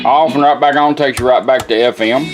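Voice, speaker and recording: A man's voice: medium pitch, steady tone, fairly quick, loud music behind the voice. A plainspoken, folksy man giving a casual product demonstration as a radio restorer. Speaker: radio restorer presenting his work.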